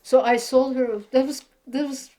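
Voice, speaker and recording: A woman's voice: high voice, moderate pace. A woman in her eighties from Belgium, telling rambling, chatty stories as an interviewee about her painting. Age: 80s. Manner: rambling, chatty, animated storyteller. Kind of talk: casual storytelling interview.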